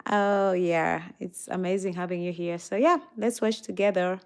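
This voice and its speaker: A woman's voice: on the low side, fairly even intonation, moderate pace, clear, resonant tone. A tired young woman talking casually on a livestream, greeting viewers and reading out their comments.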